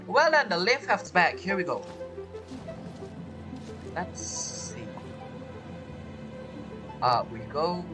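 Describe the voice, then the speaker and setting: Woman gamer, casual commentary: lowish voice, animated intonation, rambling self-questioning, game music underneath. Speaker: gamer, video creator.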